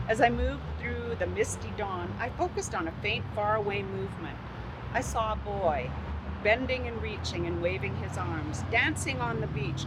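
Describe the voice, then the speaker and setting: A woman reading a short inspirational story aloud as a guest, in a measured storytelling manner, then speaking directly to listeners. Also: mid-range voice, low-quality recording. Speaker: guest reader.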